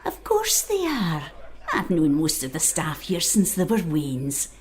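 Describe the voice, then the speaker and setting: A woman's voice: deep voice, huge pitch swings, a little slow, a little mellow, full character voice. A woman playing a wise, no-nonsense old island aunt with a Scottish accent in an audio drama, scolding affectionately. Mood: brisk, dismissive. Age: older. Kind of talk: naturalistic audio drama acting.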